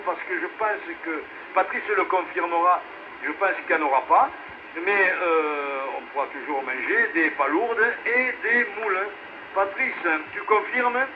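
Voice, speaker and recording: A man casually hosting an amateur radio net as its controller, often pausing to think, heard over a radio relay. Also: high voice. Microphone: two-way radio transmission. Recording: muffled, noisy VHF amateur radio relay.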